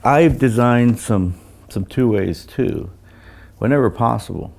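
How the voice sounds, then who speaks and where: An older man who designs loudspeakers, talking casually about technical matters and often repeating words as he recounts things. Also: mid-range voice, gravelly. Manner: halting, repeats words, anecdotal.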